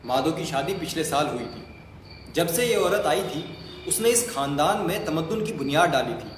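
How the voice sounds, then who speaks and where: A man's voice: fairly high pitch, animated, moderate pace. Male story narrator in a reverberant hall. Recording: echoey hall, long reverb, faint noise.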